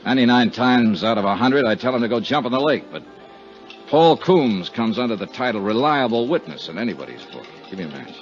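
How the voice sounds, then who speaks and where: Hard-boiled, world-weary male investigator played by an actor, lively inflection, wry and blunt, fifties radio drama with muffled sound. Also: medium pitch, brisk, lightly acted. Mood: sour, irritated. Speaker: lead actor. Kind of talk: 1950s radio drama acting. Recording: dull 1950s radio recording, music behind.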